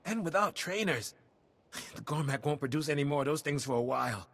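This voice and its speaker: A weary, husky wounded soldier. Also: male voice, fairly high pitch, animated, fairly bright tone. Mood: grateful, subdued. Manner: halting, sighing, earnest military report. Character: weary wounded male soldier, grateful and earnest. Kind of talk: video game cutscene acting.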